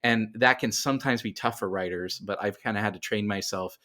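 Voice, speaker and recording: A man's voice: fairly low pitch, a little fast, sharp tone. A middle-aged man talking casually as a writer being interviewed, animatedly explaining things and often repeating words as he goes. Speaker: writer and podcast guest.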